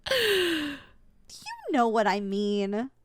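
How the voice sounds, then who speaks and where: High-pitched, animated, indignant young succubus played by a woman. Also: extremely slow, no room sound, studio quality, exaggerated voice. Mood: defensive. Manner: indignant, sputtering, blunt. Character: possessive, flustered young succubus student, indignant and competitive. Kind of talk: ASMR audio roleplay acting.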